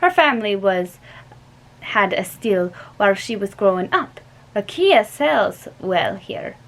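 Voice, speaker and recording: A woman's voice: medium pitch, sing-song, slow, low-quality recording. A young woman reading aloud and voicing a weary middle-aged male professor with a put-on German accent, speaking emphatically. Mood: earnest. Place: small bedroom indoors. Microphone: webcam at close range.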